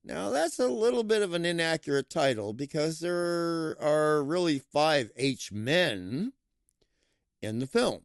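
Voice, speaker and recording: A man reviews a film in a playful, animated way. His pitch jumps all over as he drags out words for emphasis. He talks slowly and leans into every pause, and the sound is dry and close. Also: gravelly, dry recording. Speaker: movie reviewer. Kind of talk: casual enthusiastic film review.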